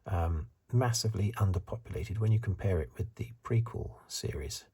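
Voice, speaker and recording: Low, hushed, breathy man doing ASMR. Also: lively intonation, normal speed. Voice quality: breathy, soft, partly whispered. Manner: hesitant, musing, conversational. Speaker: ASMR reviewer. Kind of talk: soft-spoken ASMR commentary.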